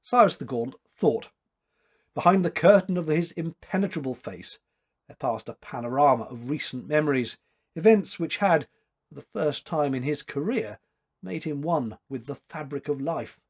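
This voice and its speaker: A man in his fifties reads literary fiction aloud in a measured, expressive storytelling way. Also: on the high side, slightly rough. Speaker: amateur story reader. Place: small domestic room, indoors.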